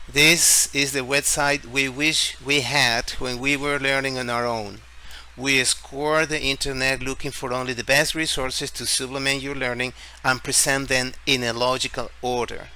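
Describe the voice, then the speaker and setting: A man from Ecuador with an Ecuadorian Spanish accent hosts an informal screen-share explainer in halting, deliberate phrases, often repeating words. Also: medium pitch, bright, forceful tone, smooth. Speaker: tutorial video host. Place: at home, street celebration outside. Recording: faint background noise.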